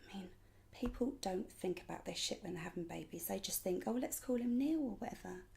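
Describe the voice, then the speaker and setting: A woman acting a dramatic monologue as a frank, sweary, emotionally raw young woman, breathy and often dropping to a near whisper. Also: medium pitch, expressive, brisk, warm tone, heavily acted. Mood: musing.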